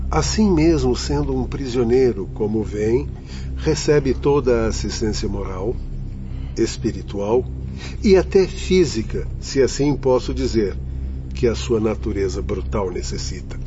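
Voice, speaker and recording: An actor playing a compassionate, grave instructor in a radio drama, speaking formally and earnestly. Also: male voice, on the low side, measured pace, natural voice. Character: compassionate, grave senior instructor in a spirit institute. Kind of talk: Brazilian radio drama acting. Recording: dull lo-fi audio under music.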